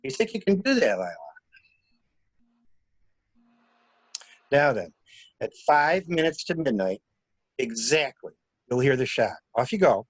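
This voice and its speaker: A man reading the part of a cool, scheming traveler in a murder mystery drama, brisk and instructing with a wry, playful streak. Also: mid-range voice, sing-song, dry recording. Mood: commanding. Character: cool, scheming male traveler taking charge of a crime cover-up.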